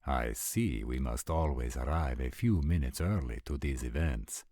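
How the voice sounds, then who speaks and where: A man's voice: extremely low pitch, lively intonation, moderate pace, dark tone, no echo, clean studio sound. A man voicing supernatural male characters at a dinner party in a theatrical roleplay audio drama. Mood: lordly, amused.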